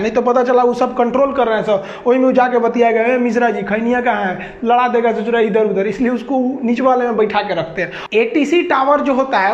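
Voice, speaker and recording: A man teaching a class, explaining step by step with folksy joking asides. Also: very high-pitched. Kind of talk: classroom lecture. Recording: echoey, dull classroom recording.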